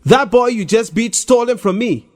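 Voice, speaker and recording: A man's voice: very high-pitched, normal speed, voice pushed up. A man voicing a wronged, earnest young boy, indignant and pleading.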